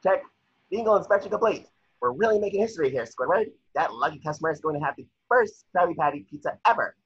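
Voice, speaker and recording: A man playing an eager, naive cartoon sea sponge fry cook in a table reading, earnest and excitable. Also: on the high side, fast, highs cut off. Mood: cheerful, earnest.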